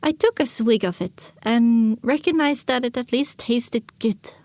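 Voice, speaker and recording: A woman's voice: fairly high pitch, unhurried, a little mellow, no room sound, natural voice. A woman narrating a dramatic audiobook in the first person as a young filly pony, reflective and scripted. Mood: descriptive. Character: young filly pony recounting her wasteland journey.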